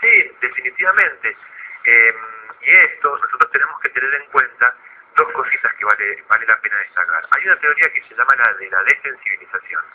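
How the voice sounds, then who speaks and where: An Argentine man, a guest psychologist in a radio interview, explaining in a didactic but halting way with repeated words. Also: mid-range voice, lively intonation, slightly rough. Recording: phone-like narrow radio line.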